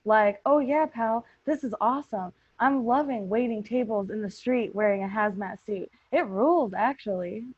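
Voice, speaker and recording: A woman's voice: on the high side, moderate pace, hardly any pauses, breathy edge, no echo. Female podcast host, informal and teasing, dry close sound with a dulled top end. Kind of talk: casual group chat. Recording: muffled, dull lo-fi podcast audio.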